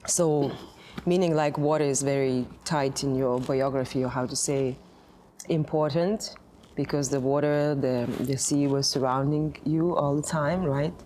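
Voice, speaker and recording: A woman's voice: very deep voice, steady tone, unhurried, warm tone, a little hiss or hum. A woman interviewing an artist, searching for words as she asks questions.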